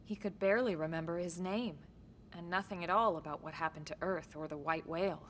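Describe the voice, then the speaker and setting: An actress playing a calm, capable military officer in a science fiction video game, speaking in a serious, level-headed and precise way. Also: female voice, lowish voice, a little fast, slight background noise, close to an everyday voice. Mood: thoughtful. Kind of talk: video game cutscene acting, briefing dialogue.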